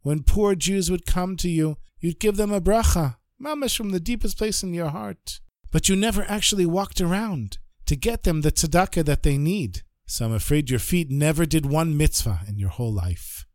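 A man voicing a holy male rabbi speaking slowly and tenderly from the world to come. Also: a little high, expressive, no room sound, natural voice. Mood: solemn. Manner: slow, hushed, tender. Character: dying holy male rabbi, gentle and solemn.

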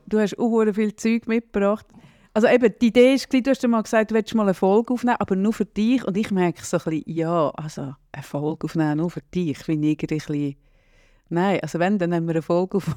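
A man's voice: high voice, fairly quick, darkish tone, no room sound, studio quality. A man in his late forties with a Swiss German accent, hosting a podcast in a casual, teasing chat and laughing often. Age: 40s. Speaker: podcast host.

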